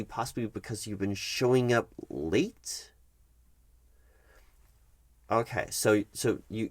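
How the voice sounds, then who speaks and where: A man playing a stern but patient HR manager in an ASMR roleplay, speaking formally and haltingly, repeating words for emphasis. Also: on the low side. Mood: measured, concerned. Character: stern, patient male HR manager reprimanding an employee. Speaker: recruiting HR manager. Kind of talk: one-sided ASMR roleplay of a work meeting.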